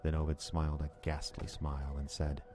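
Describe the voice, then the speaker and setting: An actor playing a sober, uneasy narrator in an audio drama, telling events in the first person in a measured, literary way. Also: male voice, very deep voice, monotone, noisy background. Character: sober male narrator, uneasy listener to Ovid's tale.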